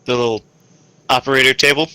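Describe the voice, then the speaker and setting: A man's voice: mid-range voice, level tone, quick pace, pushed and bright, smooth. A man playing a tabletop game, chatting casually in a playful, quippy way. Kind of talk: casual joking game chat.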